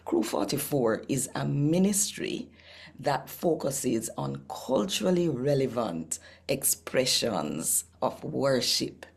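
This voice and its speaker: A Jamaican woman with a husky, gravelly voice, hosting a scripture reading channel in a deliberate, emphatic manner. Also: rumbling low, sing-song. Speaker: host and scripture reader.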